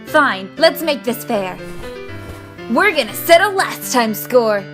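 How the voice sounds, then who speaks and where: A woman's voice: fairly high pitch, very animated, takes it slowly, music in the background. A woman playing a bold young fighter, a teasing older sister, in an audio drama, with a casual dry delivery. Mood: cocky.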